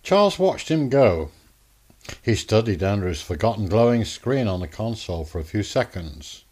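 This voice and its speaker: A man narrating a scripted radio drama in a theatrical, deliberate manner. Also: fairly low pitch, big pitch swings, darkish tone.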